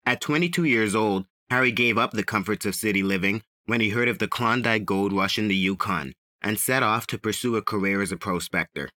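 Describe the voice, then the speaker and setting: Guy hosting a true crime podcast, lowish voice, reading a script in measured phrases, dry close mic. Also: sharp tone, dry recording. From the Bahamas. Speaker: podcast host.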